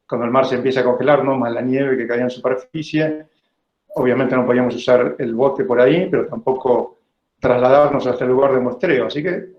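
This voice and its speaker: An Argentine man in his fifties gives an informal lecture full of personal recollection. His voice sits at a middle pitch and he talks conversationally, often checking in with his listeners. The sound is that of an online meeting, roomy and somewhat muffled. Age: 50s. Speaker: biologist giving a talk. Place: indoor room, online video call. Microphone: video call microphone.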